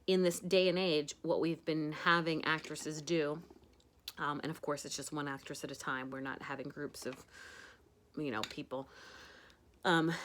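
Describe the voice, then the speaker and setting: A woman's voice: sits low, fairly bright tone, a little hiss or hum. A woman hosting a casual at-home makeup tutorial, chatty and rambling with many fillers.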